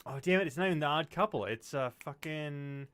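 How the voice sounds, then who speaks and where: Smooth-voiced male podcast host. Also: on the high side, brisk, fairly bright tone. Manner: loose, searching for words, swears casually. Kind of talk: casual interview chat.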